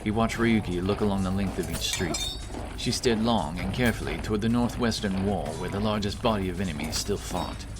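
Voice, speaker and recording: Deep-voiced man narrating fantasy over music. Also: fairly quick. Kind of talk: scripted fantasy audiobook narration. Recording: music bed under voice.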